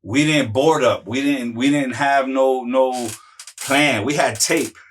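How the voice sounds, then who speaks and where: A man's voice: mid-range voice, slow, distant mic. Rambling slangy man telling a story. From Miami, Florida. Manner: rambling, many fillers and restarts, profane slang. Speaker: interview guest, musical artist. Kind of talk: casual chat, storytelling.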